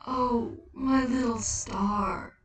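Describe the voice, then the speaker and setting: A woman voicing Death as a gentle, sorrowful, ageless spirit who speaks softly and soothingly in a story reading. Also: fairly high pitch, fairly even intonation, heavy reverb, distant mic, voice pushed up, low-quality recording. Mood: tender. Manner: soft, slow, soothing.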